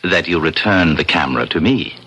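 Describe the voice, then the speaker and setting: A man's voice: rumbling low, animated, a little fast, pushed and bright, slightly rough, heavily acted. A man playing a suave, sly devil posing as a courteous businessman, speaking in urbane formal phrases in 1970s radio drama style. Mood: smooth, sly. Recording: noisy vintage radio broadcast.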